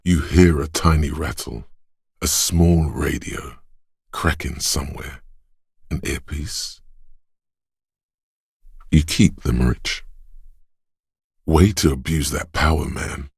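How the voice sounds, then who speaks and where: An actor voicing a watchful inner sense in a video game, narrating slowly and deliberately. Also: male voice, rumbling low, slightly rough, no echo, studio quality, exaggerated voice. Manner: slow, measured, deliberate delivery.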